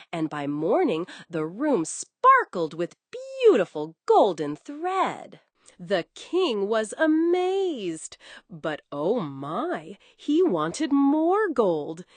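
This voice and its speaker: A woman's voice: mid-range voice, no room sound. A woman narrating a scripted bedtime story for young children, coddling the listener with endearments.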